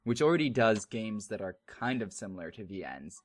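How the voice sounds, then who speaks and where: A man's voice: on the low side, sing-song, brisk. A man speaking as a podcast guest in a casual group discussion.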